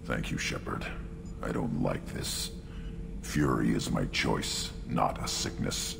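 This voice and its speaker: A young tank-bred alien warrior for a video game. The voice is a huge, very low growl thick with gravel. He speaks slowly in short, brooding, restless bursts, full of barely held violence. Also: full character voice. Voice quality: gravelly, rumbling. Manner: terse, halting, brooding phrases. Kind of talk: video game cutscene acting. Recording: music behind the voice.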